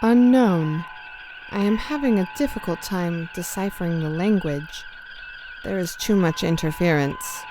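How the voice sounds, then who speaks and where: An actress playing a formal, precise starship communications lieutenant in a science fiction audio drama. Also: female voice, fairly low pitch, a little slow, background noise, natural voice. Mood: puzzled, formal.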